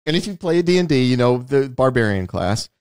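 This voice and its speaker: A man's voice: medium pitch, very animated, a little fast, darkish tone, clear, resonant tone, no echo, clean studio sound. A man hosting a podcast, talking through a film in an emphatic, explanatory way and often checking in with "right?". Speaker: podcast host. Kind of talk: conversational film analysis.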